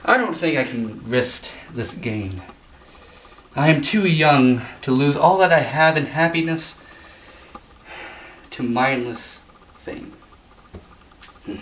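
A young man playing a hesitant amateur singer in a student parody of a Shakespearean soliloquy, speaking in a halting, theatrical way. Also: mid-range voice, fairly bright tone. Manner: theatrical, halting, deliberate delivery. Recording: echoey room, distant mic, background hiss.

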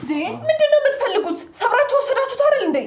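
A woman's voice: very high-pitched, big pitch swings, very fast. An actress playing an indignant woman confronting intruders in a film drama. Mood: indignant, agitated. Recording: echoey distant noisy film sound.

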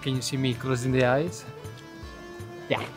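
A man's voice: mid-range voice, flat delivery, unhurried. A man in his thirties with an Italian accent, a native Italian speaker, chatting playfully on an outdoor travel vlog. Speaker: travel vlogger. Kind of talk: casual vlog chat. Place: outdoors in a hilltop town. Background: faint background music.

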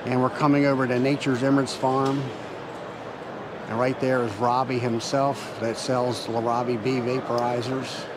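A man hosting a walking tour of a convention hall, narrating in a relaxed, plain-spoken way while filming. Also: mid-range voice, normal speed. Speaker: channel host. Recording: noisy trade show hall.

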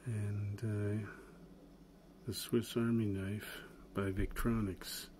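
A lower-voiced man talks through a pocket knife in a casual demo, rambling easily with little chuckles and fillers. He sits indoors at a table with the camera close by. Clear background noise and clicks from handling the tools run under his voice. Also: level tone, slow, darkish tone. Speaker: channel host reviewing a pocket knife. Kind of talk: casual product demonstration.

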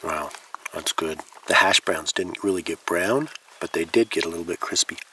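A man's voice: low voice, moderate pace. A man in his thirties talking casually to the camera while he cooks and eats at a forest campsite, savoring the food. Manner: relaxed, murmuring while tasting food. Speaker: outdoor cooking vlogger. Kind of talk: casual camp narration. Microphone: camera mic a few feet away. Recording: distant outdoor camera audio with noise.

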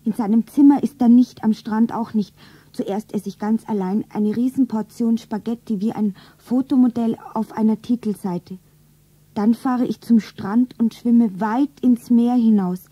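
An actress playing an anxious, self-admiring young woman in a radio drama, with breathy, deliberate storybook diction. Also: a little high, warm tone, no echo. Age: young adult. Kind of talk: stylized radio drama narration.

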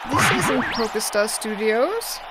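A woman's voice: a little high, big pitch swings, slow. A woman voicing a proud, determined young gym leader in a manga dub, serious and dramatic. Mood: stern, focused. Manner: earnest, dramatic. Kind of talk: anime-style manga dub. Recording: echoey hall reverb under loud music.

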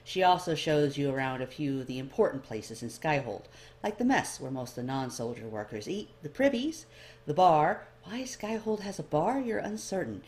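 A woman reading fan fiction aloud as expressive scripted story narration. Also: low voice, normal speed. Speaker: amateur fan fiction reader.

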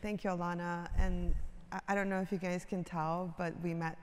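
A middle-aged woman from Iran telling her life story on a conference stage, with a slow, humorous manner full of ums. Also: fairly low pitch, little pitch movement, slight background noise. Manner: warm-humored, drawn-out, filler-heavy. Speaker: creative director, speaker. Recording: hall reverb.

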